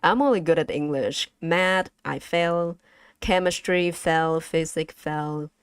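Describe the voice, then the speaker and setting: Low-voiced woman with a Vietnamese accent. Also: clear, resonant tone, no room sound, studio quality. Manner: halting, slow and careful, with frequent fillers. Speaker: vtuber streamer teaching language. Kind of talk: casual language lesson to chat.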